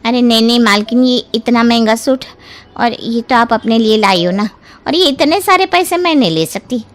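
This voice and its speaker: A woman's voice: fairly high pitch, normal speed, natural voice. A woman playing a humble housemaid in an acted moral story, speaking in a respectful, deferential and plaintive manner. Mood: reluctant, grateful.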